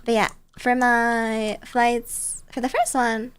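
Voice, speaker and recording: A woman with a high voice chats casually on a livestream, telling stories as she goes. Her delivery is bubbly and playful, with a cutesy touch. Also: little pitch movement, takes it slowly, clean tone. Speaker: streamer. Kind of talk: casual storytelling chat on a livestream.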